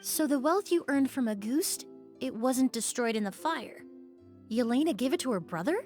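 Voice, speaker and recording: A woman's voice: high voice, expressive, moderate pace, darkish tone, soft background music. A woman playing a serious, inquisitive young female character in a video game, questioning in a probing way.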